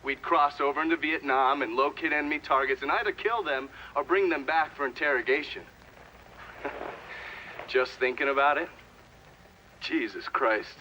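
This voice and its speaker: Sharp-voiced, jaded American ex-spy. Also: male voice, a little high, moderate pace, pauses often, a little hiss or hum, poor audio. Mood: grimly sardonic. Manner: blunt, casual, sardonic. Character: jaded, foul-mouthed former CIA operative testifying. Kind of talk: cinematic video game acting.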